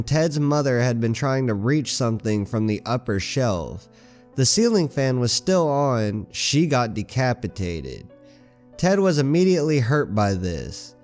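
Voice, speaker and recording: A man with a raspy voice from a cold, reading a horror story aloud as a channel narrator in a slow, grim way. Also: medium pitch, a little mellow. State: hoarse with a cold. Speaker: creepypasta narrator.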